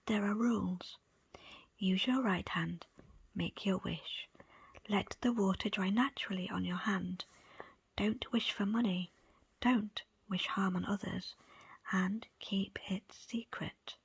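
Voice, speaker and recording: A woman reads aloud in an ASMR style, entirely in a very breathy whisper. Her phrasing is soft, deliberate and slow. The sound is dry, with hardly any room to it. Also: medium pitch, no room sound. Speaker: book presenter and reader. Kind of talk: ASMR whispered book reading.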